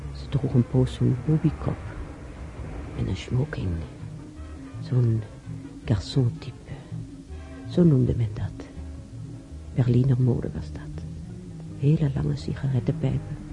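Dreamy old lady in a radio play, real slow, halting, music behind her. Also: extremely low pitch, steady tone, vocal fry, lo-fi sound, heavily acted. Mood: musing, nostalgic. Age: older. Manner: halting, musing, with sighs and whispers. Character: elderly widow, bedridden, wistfully reminiscing about Rio. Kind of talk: radio drama monologue.

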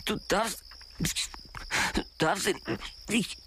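An actor playing an obsessed, jealous, lovesick stalker muttering haltingly to himself in a radio play. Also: male voice, sits high, background noise. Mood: anguished, betrayed. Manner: slow, halting, repetitive muttering. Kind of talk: radio play inner monologue.

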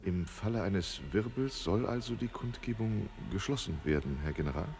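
An actor playing a dutiful, dryly formal official in a radio drama. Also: male voice, lowish voice, moderate pace, darkish tone, faint music behind, close to an everyday voice.

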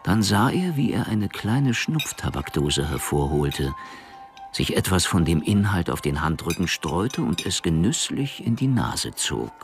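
Very deep, gravelly male narrator. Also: very animated, measured pace, soft background music. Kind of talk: scripted narration of a crime radio drama.

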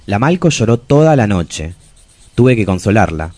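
Deep-voiced, lovelorn Argentine diarist. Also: male voice, big pitch swings, normal speed, a little mellow, no echo. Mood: pitying, sardonic. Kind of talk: literary monologue in a radio play.

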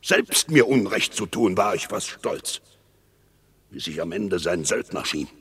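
A gravelly, bitter scheming general. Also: male voice, sits low, lively intonation, a little slow, a bit bright, heavily acted. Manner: bitter, accusing verse delivery. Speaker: actor in radio play. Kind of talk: classical verse drama, radio play.

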